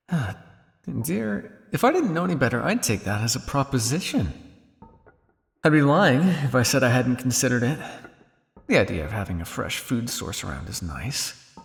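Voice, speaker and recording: Suave male vampire scientist, played by a man, cynical and condescending, gravelly creak, long reverb, ASMR roleplay. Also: medium pitch. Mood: teasing. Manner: teasing, condescending, drawling with theatrical pauses.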